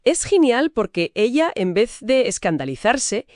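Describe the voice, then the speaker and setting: A woman's voice: fairly high pitch, very animated, a little slow, darkish tone, no echo. Castilian woman in a dry-recorded book chat. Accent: Castilian Spanish. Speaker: co-host of a book discussion. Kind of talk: conversational literary discussion.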